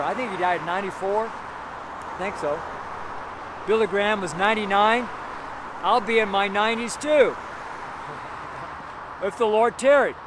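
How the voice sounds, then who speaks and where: A middle-aged man preaching an outdoor street sermon, reading scripture slowly and repeating his points with frequent "right?" checks, recorded beside a busy road by a camera facing him. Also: high voice. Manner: emphatic, repetitive, keeps asking "right?"; reads scripture slowly and deliberately. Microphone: camera facing him at medium distance. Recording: outdoor traffic noise.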